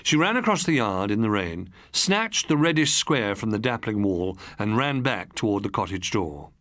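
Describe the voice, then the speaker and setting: A man's voice: on the low side, very animated, normal speed, a bit bright, no echo, clean studio sound. A man reading an audiobook as a dramatic, scripted narration.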